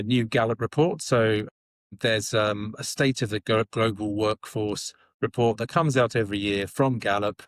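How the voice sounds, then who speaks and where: A man who works as a coach and publisher, talking in a casual, halting way with many pauses. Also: fairly low pitch, no room sound. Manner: hesitant, lots of uh and restarts. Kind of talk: casual conversation.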